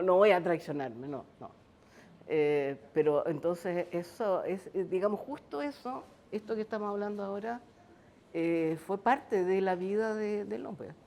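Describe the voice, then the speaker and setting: Woman, Chilean accent, mid-pitched, chatty, reminiscing panel talk. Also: darkish tone, slight background noise. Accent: Chilean Spanish. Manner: conversational, with fillers and asides.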